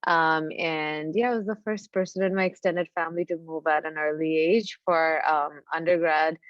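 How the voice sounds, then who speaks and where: A woman from Bangladesh giving an informal personal career talk as a startup founder, with hesitant, halting phrasing. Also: low-pitched, steady tone. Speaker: deep tech startup founder, guest speaker. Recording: roomy, slightly dull lo-fi sound.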